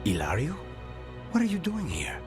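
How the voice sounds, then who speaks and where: An actor playing a grim, vengeful assassin in a video game, speaking tersely and intensely. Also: male voice, medium pitch, huge pitch swings, normal speed. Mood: grim, guarded. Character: grim, vengeful male assassin, quietly menacing. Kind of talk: dramatic video game acting. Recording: game cutscene with loud background music.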